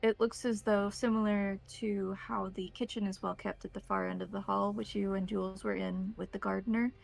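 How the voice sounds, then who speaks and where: An American woman narrating a tabletop roleplaying game as game master, setting scenes in measured phrases with deliberate pauses. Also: medium pitch, level tone, vocal fry, low-quality recording. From United States.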